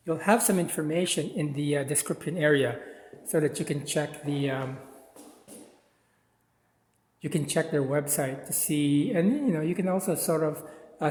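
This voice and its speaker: A man's voice: on the high side, a little mellow. Chatty man around sixty, raised voice. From Philippines. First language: Tagalog. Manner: chatty, discursive, with frequent um and uh. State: slightly stuffy from sinuses. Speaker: art channel host. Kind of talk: casual gallery walkthrough vlog. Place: city street outside, then indoors in a gallery.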